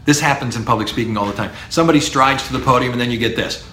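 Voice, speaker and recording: A middle-aged man with a baritone voice, lecturing as a speech professor on public speaking, animated and emphatic with low, punchy asides. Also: racing, reverberant, a little hiss or hum.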